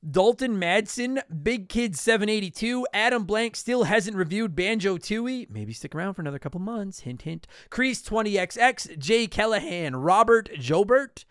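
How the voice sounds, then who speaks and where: A man with a high-set voice talks casually as a podcast host. The sound is clean and smooth up close and very dry, though he is a touch hoarse from talking. He jokes at his own expense and speaks bluntly. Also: animated, moderate pace, hardly any pauses, a bit bright, no echo. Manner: self-deprecating, joking, blunt. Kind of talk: casual solo podcast talk with promotional plugs.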